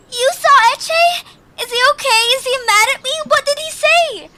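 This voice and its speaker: A woman's voice: extremely high pitch, expressive, a little slow, background noise. A woman voicing an anxious, kindhearted young girl adventurer in an audio drama, earnest and hesitant in her delivery. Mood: anxious, pleading. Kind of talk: animated audio drama acting.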